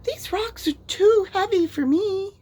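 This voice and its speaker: A woman's voice: very high-pitched, unhurried, dark tone, voice pushed up. A woman voicing a sweet, earnest female cartoon mouse in a children's storybook. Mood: concerned.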